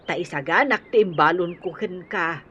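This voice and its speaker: A woman's voice: on the low side, normal speed, a bit bright, close to an everyday voice. A woman playing a tender older mother fussing over her grown son in a radio drama, with a Filipino accent. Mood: doting. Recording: dull, faintly noisy radio recording.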